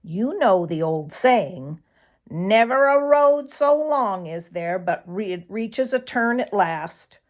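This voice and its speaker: A woman voicing an aging granny fox in a children's storybook, sharp but kindly, with a folksy, old-fashioned way of talking. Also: medium pitch, expressive, slow pace. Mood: kindly, encouraging. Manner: gently scolding, folksy, old-fashioned phrasing.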